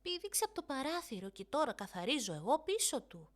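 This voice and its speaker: Woman acting a high-pitched, bossy little big sister. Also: sing-song. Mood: feigned annoyance. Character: quick-witted, bossy young big sister telling fibs.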